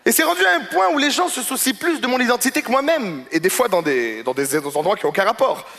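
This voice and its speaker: A male comedian with a high, bright and forceful voice works a gala stage. He tells his stories conversationally, speaking straight to the audience. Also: lively intonation, fast talker, gravelly, in a large hall. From Morocco or Moroccan family in Quebec. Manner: conversational, addresses audience directly. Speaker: stand-up comedian. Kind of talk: stand-up comedy storytelling.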